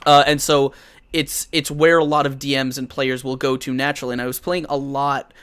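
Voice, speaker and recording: A man's voice: medium pitch, level tone, brisk, fairly bright tone, clean tone. Eager man chatting, tripping over words. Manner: eager, repeats words, laughs easily. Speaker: guest game master and streamer. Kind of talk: casual live-stream chat.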